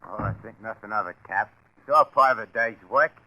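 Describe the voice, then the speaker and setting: A man's voice: on the low side, fairly quick, sharp tone, no echo. Breezy, slangy wise guy, muffled. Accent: American. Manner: slangy, casual. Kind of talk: 1940s radio crime acting. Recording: muffled vintage 1940s radio broadcast.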